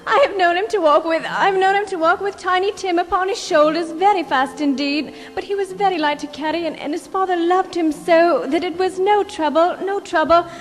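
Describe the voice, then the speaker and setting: A tender Victorian mother in mourning for a radio drama. Her voice is high and halting, ringing in a long reverb. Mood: tender, sorrowful. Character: gentle grieving working-class Victorian mother. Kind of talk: live radio drama acting.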